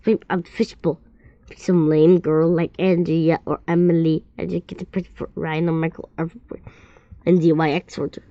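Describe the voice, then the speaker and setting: A woman reading a children's story aloud, expressive and often stumbling and repeating words. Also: on the low side, no room sound, muffled recording.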